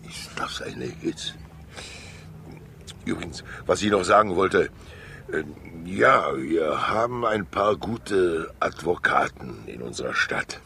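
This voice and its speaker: An actor playing a fat, wily, talkative older state councillor in a 1960s radio crime drama, jovial and theatrical, rambling with asides and pauses. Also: male voice, low-pitched, vocal fry, pushed and bright, heavily acted. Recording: hissy 1960s radio recording.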